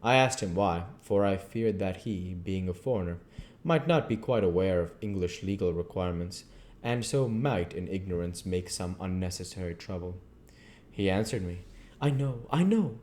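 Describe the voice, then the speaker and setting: A man reading a novel aloud at a measured pace, with occasional slips and restarts. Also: low voice, darkish tone, slight background noise. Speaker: audiobook reader.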